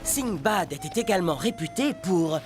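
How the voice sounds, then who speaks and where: A man's voice: high voice, animated, loud music behind the voice. A man voicing an exuberant, boastful pirate genie who tells stories in a children's cartoon with grandiose showmanship. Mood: narrating playfully. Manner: grandiose, drawn-out showman delivery. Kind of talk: theatrical children's storytelling, animated cartoon.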